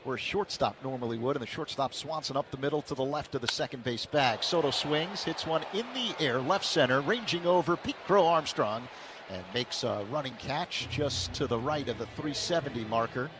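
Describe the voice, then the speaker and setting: A man calling baseball play-by-play on the radio in a steady, measured way. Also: mid-range voice. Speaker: play-by-play announcer.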